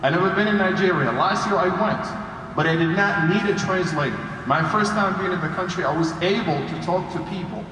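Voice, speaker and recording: A man with a high-pitched voice delivers an emphatic Friday sermon, repeating key phrases to drive them in. He grew up in Saudi Arabia speaking Arabic and Hausa as first languages. His words ring through a very echoey hall with long reverb and some faint noise behind. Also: a little fast, sharp tone. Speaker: preacher. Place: large indoor mosque hall.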